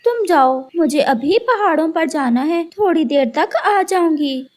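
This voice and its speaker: Petulant high-voiced young girl bird played by a woman. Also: animated, unhurried, darkish tone. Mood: defiant. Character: stubborn, fussy young female bird, defiant daughter. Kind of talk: children's cartoon dialogue.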